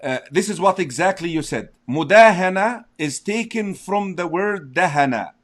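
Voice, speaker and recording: A man from Egypt and an Arabic speaker, teaching as a sheikh in English. He explains slowly and recites Arabic verses. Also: sits high, expressive. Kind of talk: religious teaching discussion.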